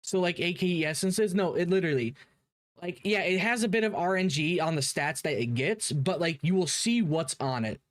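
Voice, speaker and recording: A man's voice: sits high, moderate pace, dry recording, clean studio sound. A man giving casual live commentary as a streamer, informal and emphatically repeating himself. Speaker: streamer reacting to a game video.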